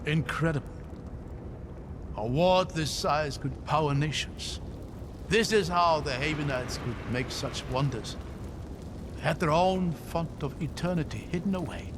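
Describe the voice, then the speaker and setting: A man's voice: fairly high pitch, unhurried, close to an everyday voice. A man playing a scholarly, curious companion in a video game, articulate and thoughtful, fascinated by ancient magic. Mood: awed. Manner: thoughtful, articulate, wonder-struck explaining. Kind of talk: dramatic video game dialogue. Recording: loud background noise, game ambience.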